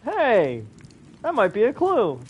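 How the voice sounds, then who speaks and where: A man chatting casually as he streams a game, with a high voice for a man. His delivery is slangy and jokey, on a slightly dull mic. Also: wildly swooping pitch, slow pace, husky. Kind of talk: casual livestream chat.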